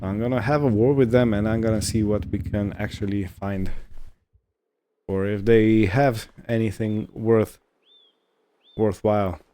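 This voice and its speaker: Male game roleplay host, mellow and fairly low, casual improvised narration delivered in short halting phrases. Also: fairly even intonation. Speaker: host of a roleplay gaming series.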